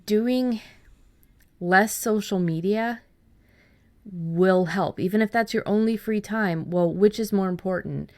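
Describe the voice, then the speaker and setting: A woman's voice: medium pitch, takes it slowly, a little mellow. A woman hosting a writing podcast, talking casually with frequent restarts and repeated words. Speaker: podcast host.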